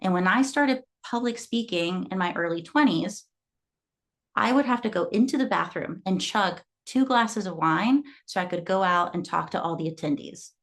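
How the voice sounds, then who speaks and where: A woman's voice: mid-range voice, lively intonation, moderate pace. A woman in her thirties from Guatemala, a fitness coach giving an earnest motivational talk that is full of client stories. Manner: earnest, storytelling. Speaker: fitness coach and gym owner.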